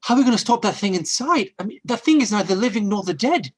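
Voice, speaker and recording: A man plays an uneasy rescuer in a radio horror drama. His husky voice rises and falls sharply with urgent, anxious exclamations. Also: sits high, expressive, fast talker. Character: anxious male companion Stan, helping the rescue. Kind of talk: old-time radio horror acting.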